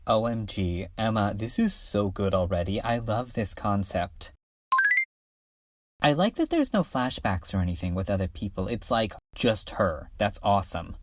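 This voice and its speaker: An enthusiastic young fanfiction fan played by a voice actor chats casually in a fan dub. The intonation is animated and swings freely. Very loud noise sits behind the voice. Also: sits low, moderate pace. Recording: very noisy, clicky recording.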